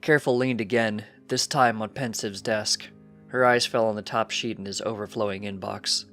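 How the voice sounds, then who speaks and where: A man's voice: fairly low pitch, steady tone, normal speed, fairly bright tone. A man reading scripted fiction as a storybook narrator. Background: background music.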